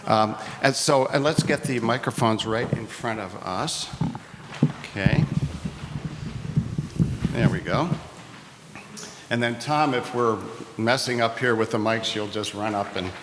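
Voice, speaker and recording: A man's voice: medium pitch, measured pace, pauses often, fairly bright tone. Chatty moderator man in a hall. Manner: chatty, joking, many restarts. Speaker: workshop moderator. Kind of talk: moderating a live community workshop. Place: community hall, live event. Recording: long hall reverb, faint noise.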